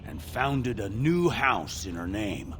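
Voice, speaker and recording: An actor playing a gossipy, disapproving dwarf townsman in a fantasy game, telling tales with expressive indignation. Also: on the low side, takes it slowly, exaggerated voice. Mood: explaining. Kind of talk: video game voice acting.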